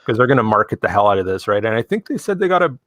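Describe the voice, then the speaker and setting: Man in his forties, low dry voice. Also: rapid-fire, no echo. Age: 40s. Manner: relaxed, talks in long run-on thoughts with many "like" and "right?". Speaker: podcast co-host. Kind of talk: casual chat.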